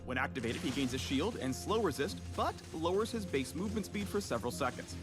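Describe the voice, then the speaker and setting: A man's voice: a little high, lively intonation, brisk. A man narrating a scripted gameplay spotlight in a polished, emphatic and playful way, with music under his voice. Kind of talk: scripted game promo narration.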